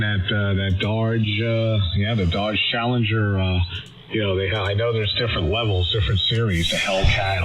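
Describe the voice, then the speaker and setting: A man chatting casually over amateur radio in a hesitant, halting way. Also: on the low side, dull audio.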